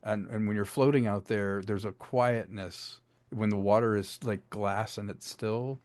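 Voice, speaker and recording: A man's voice: on the low side, steady tone, unhurried, a little mellow, no echo. A man speaking enthusiastically and conversationally as an audio drama creator in an interview. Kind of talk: conversational behind-the-scenes interview.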